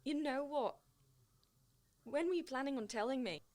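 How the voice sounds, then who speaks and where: A woman plays a stubborn young woman in a radio drama. Her voice is fairly high. She speaks sullenly and defiantly, as someone indignant who will not back down. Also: expressive, normal speed, a little mellow. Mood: hurt, indignant. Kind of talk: radio play acting.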